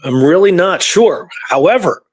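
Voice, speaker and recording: Higher-voiced man chatting through history research. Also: animated, normal speed, low-quality recording. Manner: rambling, informal, emphatic repetition. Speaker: history researcher and show guest or co-host. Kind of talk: casual discussion of historical research.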